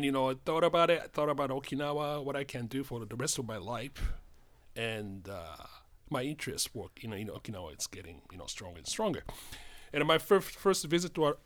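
A man's voice: mid-range voice, fairly bright tone. Middle aged japanese guy w/ accent, lots of ums and restarts, easygoing guest chat. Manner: halting, many fillers and restarts. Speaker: guest, spirits importer. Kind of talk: casual studio chat.

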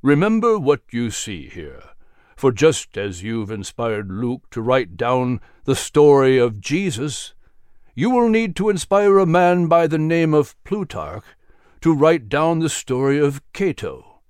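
Man doing a wise grave mentor, solemn and deliberate, mid-low storybook voice, close dry audio. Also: big pitch swings, darkish tone, smooth, no echo. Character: grave, wise male mentor guiding through history. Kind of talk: storybook character voice.

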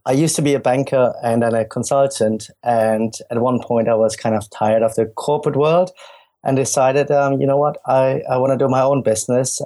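A man's voice: medium pitch, dark tone. A man from Germany with a German accent, a startup founder explaining his business earnestly in an interview. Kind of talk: podcast interview.

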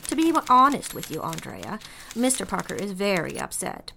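A woman's voice: medium pitch, sing-song, moderate pace, sharp tone, heavily acted. A woman playing a candid, businesslike secretary in an audio drama, polite but pointed. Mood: candid, pressing. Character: brisk, candid 1950s-style female office secretary on the phone. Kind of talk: scripted audio drama dialogue. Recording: clear rustling, clicking and typing noise.

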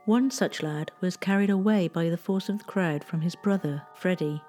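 A woman giving scripted history narration in a measured, gently storytelling way. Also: lowish voice, soft background music.

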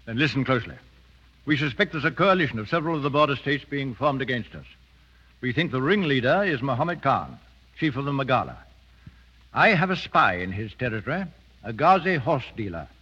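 A stern, exacting colonel in a vintage radio drama. His voice is forceful and mid-pitched, his phrasing formal and curt. The old broadcast sounds dull. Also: lively intonation, brisk, slightly rough. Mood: brisk, formal. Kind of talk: 1930s radio drama acting.

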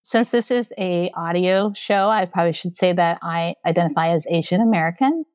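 A woman's voice: fairly low pitch, moderate pace, a little mellow. A woman who grew up in Okinawa, a school technology leader speaking earnestly as a guest in an interview. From Okinawa, Japan. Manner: earnest, acts out quoted exchanges. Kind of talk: casual interview talk.

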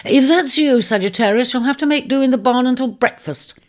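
Woman playing a high, snappish, bossy storybook woman. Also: brisk, lightly acted. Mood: cross, exasperated. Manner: exclamatory, snappish, insistent. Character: cross, brisk, bossy woman roused from sleep. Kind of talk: audiobook storytelling character voice.

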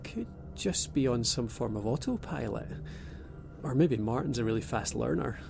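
A man voicing a dry-humoured young abductee with a gravelly, creaky edge to his voice. Over a prominent music score in an audio drama, he keeps a sardonic calm. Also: mid-range voice, normal speed. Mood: wry. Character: wry young man named Paul, abductee.

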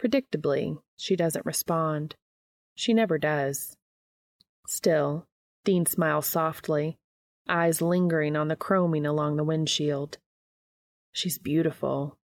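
Low-pitched woman reading fiction, close and dry. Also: takes it slowly, dry recording. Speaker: podcast host and fan fiction reader. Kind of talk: scripted audiobook narration.

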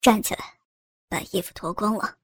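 A woman voicing a cold, domineering village man who gives orders in a low, hushed tone. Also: fairly even intonation, normal speed, breathy edge, no room sound, studio quality. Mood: menacing.

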